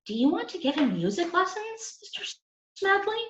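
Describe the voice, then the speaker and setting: A woman's voice: extremely high pitch, very animated, moderate pace, pitched above the performer's own voice. A woman voicing an eager, polite young boy from a children's storybook, earnest and pleading. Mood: curious, eager. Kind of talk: children's storybook character reading. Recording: roomy, slightly dull sound.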